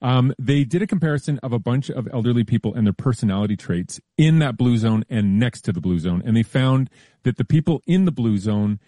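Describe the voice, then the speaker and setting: A man explaining science news in a relaxed, careful way during a casual chat, with frequent hedges and restarts. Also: mid-range voice, fairly quick, no room sound. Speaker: science columnist.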